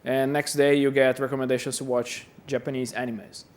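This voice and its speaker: A man's voice: medium pitch, little pitch movement, fairly quick. A man from Brazil giving a chatty, self-deprecating conference talk, often repeating words as he goes. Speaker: conference speaker. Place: conference hall.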